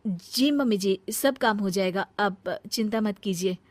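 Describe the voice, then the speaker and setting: A woman's voice: medium pitch, a little slow, darkish tone, no room sound, lightly acted. A woman voicing a dutiful elder daughter-in-law in a cartoon story, earnest and proper. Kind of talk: cartoon voice acting.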